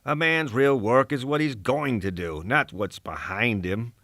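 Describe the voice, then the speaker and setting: A man's voice: medium pitch, unhurried, a bit bright, no echo, studio quality, voice pushed up, close to an everyday voice. A man playing an embittered, defiant old poet locked away, delivered as a combative, declamatory dramatic soliloquy. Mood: grim, scornful. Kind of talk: dramatic first-person soliloquy.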